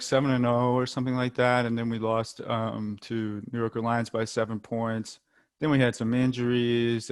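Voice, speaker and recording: Relaxed young American man, dry sound. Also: medium pitch, clear, resonant tone, no echo. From United States. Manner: relaxed, lots of ums, light laughs. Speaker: football player, interview guest. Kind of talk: casual interview.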